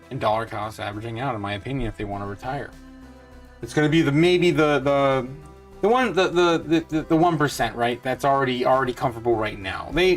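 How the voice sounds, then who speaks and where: A man in his thirties with a mid-range voice talks loosely and confidently. He rambles and restarts his sentences often. Soft music plays faintly behind him. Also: medium pitch. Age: 30s. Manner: rambling, with many fillers and restarts; upbeat and certain in his views. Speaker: crypto channel host. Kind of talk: casual talk over a retirement calculator.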